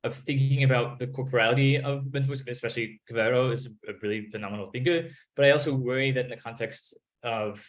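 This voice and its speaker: A man's voice: medium pitch, steady tone, fast, hardly any pauses, low-quality recording. A man speaking as a panelist in an academic discussion, searching for words with many restarts, on a video call.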